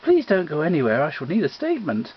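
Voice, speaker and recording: A man's voice: a little high, wildly swooping pitch, quick pace, muffled recording, slight background noise. A man voicing a crumpled veteran American police lieutenant in a comic mystery, polite, folksy and meandering. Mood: courteous.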